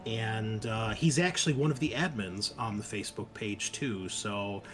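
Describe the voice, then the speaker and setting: A man's voice: lowish voice, moderate pace, noisy background. A man hosting a show, giving a chatty, informal introduction in a casual style. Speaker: podcast host.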